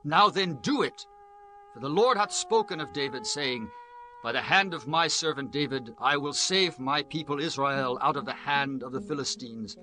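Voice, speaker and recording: Man acting a forceful, bright-voiced biblical commander. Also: mid-range voice, normal speed, close to an everyday voice. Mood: persuasive, resolute. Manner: emphatic, formal, archaic oratory. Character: Abner, persuasive, resolute biblical army commander. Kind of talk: dramatized scripture, declamatory radio-play acting. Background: instrumental music.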